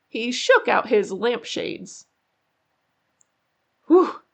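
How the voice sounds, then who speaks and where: A woman reading a children's story aloud in an expressive way, drawing out key words for suspense. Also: fairly high pitch, gravelly. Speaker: children's book narrator. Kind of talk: animated read-aloud storytelling.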